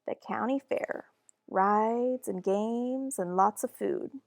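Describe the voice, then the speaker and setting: A woman reading a children's storybook aloud in an expressive, deliberate and animated narration. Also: mid-range voice, vocal fry, no echo, studio quality. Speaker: children's book reader.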